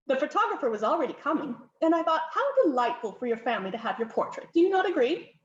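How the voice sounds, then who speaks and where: A woman doing a bossy, imperious countess. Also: very animated. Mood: bossy, fussing. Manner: brisk, insistent, high-pitched. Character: bossy aristocratic middle-aged countess, imperious. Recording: roomy, slightly muffled home recording.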